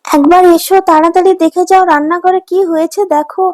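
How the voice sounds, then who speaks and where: Woman as an astonished, high-voiced village wife. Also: fairly even intonation, fairly quick, a little mellow, faint music behind. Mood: astonished, urgent. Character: fisherman's devout, easily astonished village wife and mother. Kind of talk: cartoon voice acting.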